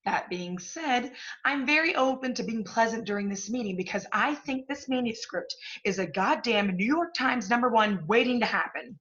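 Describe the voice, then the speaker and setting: An actress plays a domineering female literary agent in a one-act play, with a fairly high voice. She is blunt, profane and impatient. The recording is slightly dull and lo-fi. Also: lively intonation, normal speed, hardly any pauses, fairly bright tone. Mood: bold, encouraging.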